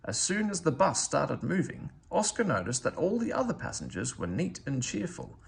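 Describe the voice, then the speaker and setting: A man reading a children's storybook aloud in an expressive narrating style. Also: fairly high pitch, normal speed, gravelly. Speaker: storybook reader.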